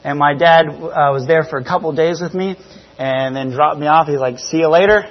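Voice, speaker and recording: A man in his thirties from New Zealand gives an informal church testimony, conversational and halting, often correcting himself. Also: on the high side, highs cut off. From grew up in New Zealand. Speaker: missionary giving a church presentation. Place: church, speaking to a congregation. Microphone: church PA microphone.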